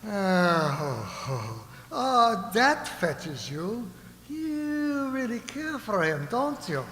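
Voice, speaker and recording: A man's voice: sits high, big pitch swings, drawn-out words, a bit bright, full character voice. A man playing a pompous, lecherous alien vegetable ruler in a radio play, savoring his oily, courtly threats. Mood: gloating. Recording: roomy echoing hall with background hiss.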